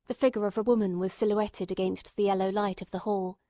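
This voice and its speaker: A woman's voice: medium pitch, steady tone, fairly quick, warm tone, no room sound, studio quality. A woman reading scripted literary narration as an audiobook narrator.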